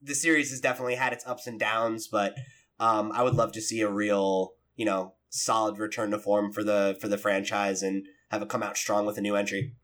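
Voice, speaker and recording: A man's voice: lowish voice, flat delivery, a little fast, fairly bright tone. Casual man co-hosting a podcast. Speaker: podcast co-host. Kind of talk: casual gaming podcast chat.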